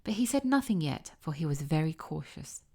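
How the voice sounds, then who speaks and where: A woman with a slightly low voice narrates a storybook tale. She reads aloud with care and expression, as a storyteller does. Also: sing-song, moderate pace, warm tone, breathy edge.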